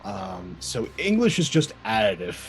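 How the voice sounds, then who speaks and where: A man playing in a tabletop game, talking through rules in a measured, hesitant way with frequent ums. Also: lowish voice, big pitch swings, faint music behind, poor audio. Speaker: player. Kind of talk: casual chat while building a character.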